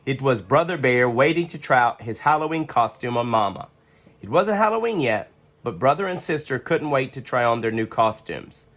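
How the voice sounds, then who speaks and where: A storybook reader giving expressive scripted narration for children. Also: medium pitch, normal speed.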